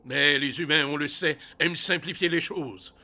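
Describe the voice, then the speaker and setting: An actor playing Zeus, a blustering, fatherly king of the gods in a comic audio drama, giving booming commands. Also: normal speed, bright, forceful tone. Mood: solemn. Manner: booming commands, stammers when flustered.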